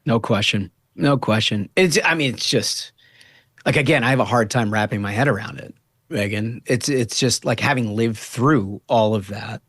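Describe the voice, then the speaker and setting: An American man giving conversational political commentary as a panelist, halting and reflective as he goes. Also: medium pitch, a bit bright, husky, no echo, studio quality. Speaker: podcast host on a panel.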